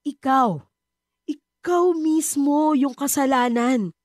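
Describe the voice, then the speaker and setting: A woman's voice: high-pitched, slow pace, darkish tone, dry recording, studio quality. An actress playing a harsh, bitter middle-aged Filipino mother in a radio drama. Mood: accusing.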